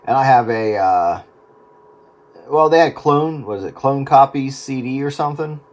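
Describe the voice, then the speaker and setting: A man's voice: medium pitch, animated, a little slow. Man chatting casually over two-way radio. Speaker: amateur radio operator in a round-table chat.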